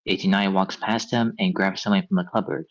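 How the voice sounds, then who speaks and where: A man's voice: low-pitched, fairly even intonation, quick pace. A man reading scripted scene directions as narrator at a table read. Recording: dull, lo-fi sound.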